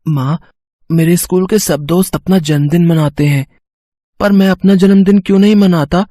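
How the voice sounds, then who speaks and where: A man's voice: sits high, steady tone, normal speed, dark tone, dry recording, clean studio sound. A man playing a sad, plaintive young schoolboy son in a dramatised moral story. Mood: hurt, pleading.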